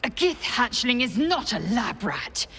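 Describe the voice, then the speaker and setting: Brief: fierce githyanki fighter for a game; slow, biting, contemptuous. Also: female voice, a little high, sing-song, vocal fry, a little hiss or hum, exaggerated voice. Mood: scornful. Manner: contemptuous, sharp. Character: fierce githyanki woman warrior, proud and scornful. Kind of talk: video game voice acting.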